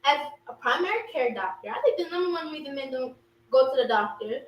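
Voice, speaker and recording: A young woman co-hosting a casual podcast chat, expressive and quick to laugh. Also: high-pitched, highs cut off. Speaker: podcast co-host. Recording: roomy echo, far from mic, faint hum.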